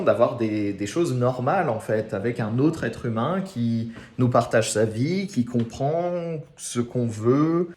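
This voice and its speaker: A man's voice: medium pitch, darkish tone. A man from France in his thirties, a French teacher talking frankly and informally to his channel's viewers, thinking aloud as he goes. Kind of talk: casual talk to camera.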